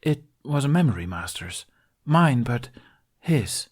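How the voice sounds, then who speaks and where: A man playing an earnest young apprentice knight with a lifted, youthful voice. He speaks hesitantly, with small stumbles and pauses as he works through what he saw. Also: medium pitch, very animated, a little mellow, pitched above the performer's own voice.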